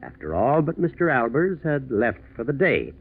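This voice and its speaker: An American actor playing a methodical, shrewd district attorney in a 1940s radio drama, probing in a deceptively casual way, on a tinny old recording. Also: male voice, mid-range voice, very animated, moderate pace, slightly rough. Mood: confident, explanatory.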